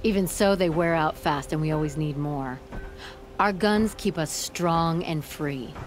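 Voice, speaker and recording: Low-voiced, tough forge leader. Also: female voice, a little slow. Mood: firm, earnest. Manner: firm, blunt, folksy. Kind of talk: video game voice acting. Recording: game music behind the voice.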